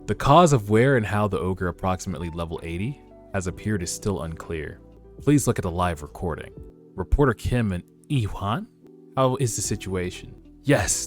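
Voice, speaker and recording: Webtoon news anchor, read by a man: formal, matter-of-fact, deep register, music underneath. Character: formal television news anchor reporting a monster attack.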